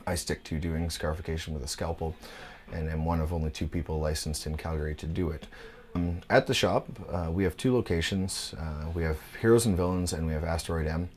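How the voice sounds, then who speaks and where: A man in his thirties, a tattoo shop owner, speaking in a documentary interview at his shop, laid-back and unhurried with frequent "uh" fillers. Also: rumbling low, a little mellow.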